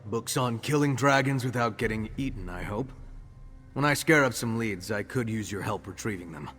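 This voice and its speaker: An actor playing a grumbling, sarcastic bookish adventurer with a dry manner in a fantasy video game. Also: male voice, fairly low pitch, moderate pace, fairly bright tone, slightly rough. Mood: matter-of-fact. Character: sardonic, grumbling bookish adventurer, reluctant researcher. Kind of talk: scripted video game dialogue. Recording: reverberant hall, game music underneath.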